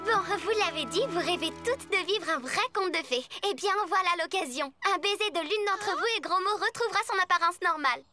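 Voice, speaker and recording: Very high-pitched confident schoolgirl. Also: lively intonation, fairly quick, few pauses, music in the background. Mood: brisk, persuasive. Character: confident, bossy, clever schoolgirl. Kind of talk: animated cartoon dubbing.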